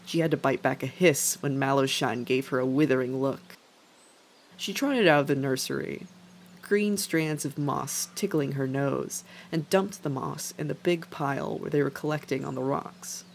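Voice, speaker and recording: A storyteller narrates scripted fiction in a voice of middle pitch. Faint noise runs behind the reading. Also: normal speed, creaky.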